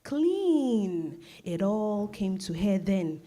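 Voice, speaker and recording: A woman narrates a scripted, dramatized novel. Her voice sits at an average pitch and carries the story between the acted scenes. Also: very animated, very slow, dark tone.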